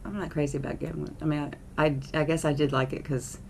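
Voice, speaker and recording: A woman's voice: sits low, little pitch movement, fast, gravelly, a little hiss or hum. A middle-aged woman co-hosting a casual chat show, rambling and laughing often as she talks. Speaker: co-host.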